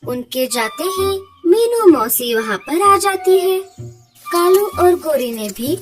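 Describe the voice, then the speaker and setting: A woman's voice: extremely high pitch, big pitch swings, takes it slowly. A woman reading scripted storyteller narration for a children's cartoon. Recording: loud children's music behind the voice.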